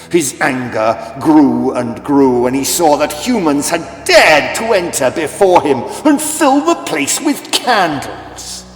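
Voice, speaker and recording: A man reads a children's story as a dramatic audiobook narrator. His voice has a medium pitch. It rings with hall-like echo, and music plays clearly behind him. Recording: echoey, long hall-like reverb.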